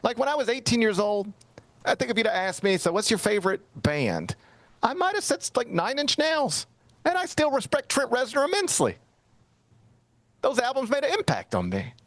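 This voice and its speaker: A man's voice: extremely high pitch, wildly swooping pitch, normal speed, a bit bright, no room sound. A middle-aged man hosting a sports talk show, chatting casually and telling a rambling, self-mocking story. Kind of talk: casual radio-style chat.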